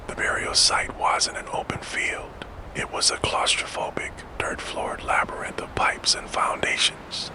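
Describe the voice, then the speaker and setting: A man telling a true crime story for sleep in a fully unvoiced ASMR whisper, with slow, suspenseful, dramatic phrasing. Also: poor audio. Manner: fully unvoiced whisper throughout, soft and deliberate. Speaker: narrator.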